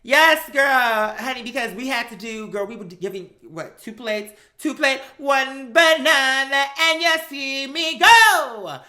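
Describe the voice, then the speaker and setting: Bright, sassy American live-stream storyteller. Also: high voice, expressive, unhurried, hardly any pauses, faint music behind. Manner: sassy, emphatic, slangy, acting out remembered exchanges.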